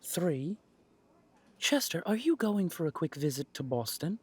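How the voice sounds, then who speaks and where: Man playing soft, courteous café server, dry sound. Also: on the high side, very animated, a little slow, breathy edge, dry recording, exaggerated voice. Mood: polite, probing. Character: courteous, tactful café server, quietly probing. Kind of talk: scripted audio drama acting.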